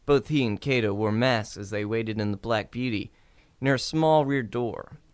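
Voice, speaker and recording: A man's voice: medium pitch, normal speed, clean tone, no room sound. A man reading scripted narration for an old-time radio crime drama.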